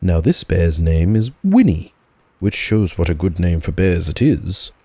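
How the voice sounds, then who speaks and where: A man reads a storybook in a relaxed audiobook style. His voice is low and mellow with a husky edge. The delivery is unhurried storytelling. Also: no room sound. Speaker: audiobook narrator.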